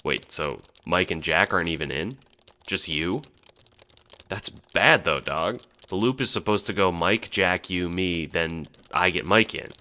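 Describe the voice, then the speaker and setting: A man playing a cocky, slangy gamer bro, lively. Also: low-pitched, a little slow, full character voice. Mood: concerned. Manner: jokey, rhyming buddy slang. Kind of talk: audio drama acting.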